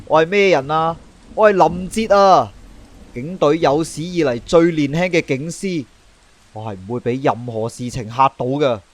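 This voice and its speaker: A man's voice: a little high, slow. A man with a Hong Kong Cantonese accent playing a proud, obsessive young police superintendent in a theatrical, brooding radio drama style. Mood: manic pride. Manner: theatrical, brooding, swinging to outbursts. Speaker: voice actor.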